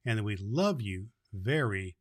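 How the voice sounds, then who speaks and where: A man's voice: on the low side, lively intonation, a little slow. A man in the role of Santa Claus, hosting and telling stories to children with affectionate, emphatic repeats. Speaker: host playing Santa Claus, reading to children. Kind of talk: children's storytelling and show hosting.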